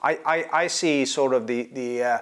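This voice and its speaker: A man's voice: mid-range voice, moderate pace, fairly bright tone. A man hosting an interview in a casual, friendly chat, often repeating words as he finds them. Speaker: host.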